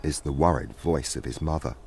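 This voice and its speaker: A man's voice: rumbling low, a little fast, vocal fry, darkish tone, breathy edge. A man narrating a scripted fantasy story. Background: faint music.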